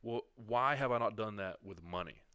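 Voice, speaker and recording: Forceful young man in his twenties. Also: on the low side, moderate pace, clean tone. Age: 20s. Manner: candid, self-reflective storytelling. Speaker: podcast guest. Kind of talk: casual podcast chat.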